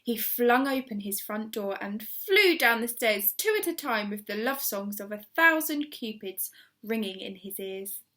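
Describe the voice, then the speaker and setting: Expressive woman reading a children's story. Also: a little high, a little slow. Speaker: primary school teacher reading aloud.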